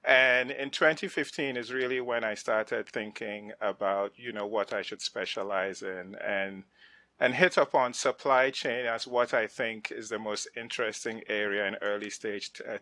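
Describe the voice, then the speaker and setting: A middle-aged man from West Africa, a venture investor speaking as a guest in a casual, animated interview, often restarting his sentences. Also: fairly low pitch. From West Africa (Ghana, Nigeria). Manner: animated, frequent restarts and laughs. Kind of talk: conversational interview.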